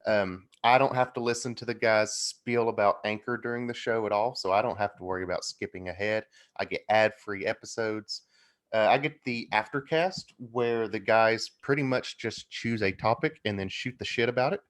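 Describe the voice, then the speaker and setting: A man's voice: on the low side, normal speed, a bit bright. A man chatting casually as a guest co-host on a podcast. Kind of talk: casual chat.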